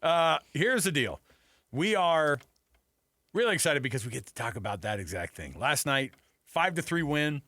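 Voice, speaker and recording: A man hosting a sports radio show, talking casually in an animated, enthusiastic, conversational way. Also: fairly high pitch, normal speed, no room sound.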